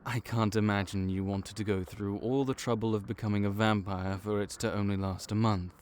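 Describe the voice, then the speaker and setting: A man's voice: fairly low pitch, steady tone, brisk, a little mellow, slight background noise, natural voice. A man voicing a male vampire intake officer in an audio roleplay, matter-of-fact and wry as he walks through the paperwork.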